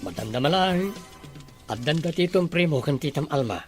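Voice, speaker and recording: A man's voice: on the high side, expressive, normal speed, faint music behind, natural voice. A man playing an earnest father and younger brother in an Ilocano radio drama.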